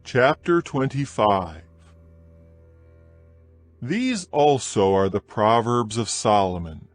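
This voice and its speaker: A man reading scripture aloud in a dramatized audio production, with a slow, measured, solemn delivery and faint music behind him. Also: mid-range voice, no room sound. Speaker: scripture reader. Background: soft music.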